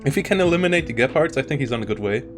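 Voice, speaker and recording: A man's voice: medium pitch, animated, racing, over music. A man commentating a live strategy game tournament, talking in loose, self-interrupting bursts. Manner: rambling, self-interrupting, frequent restarts. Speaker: esports caster.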